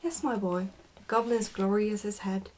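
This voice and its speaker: A woman's voice: medium pitch, moderate pace, a little mellow, a little hiss or hum, lightly acted, rough recording. A woman reading the part of a bossy, smug goblin father in a storybook, in a pompous explaining manner.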